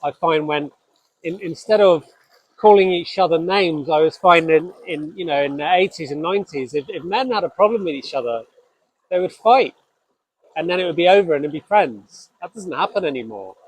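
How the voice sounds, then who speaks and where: Scottish-born male host, no accent, earnest and halting, fairly high pitch. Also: no room sound, low-quality recording. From Scotland. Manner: earnest, halting, with frequent restarts. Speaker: spiritual podcast host. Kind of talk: reflective solo talk.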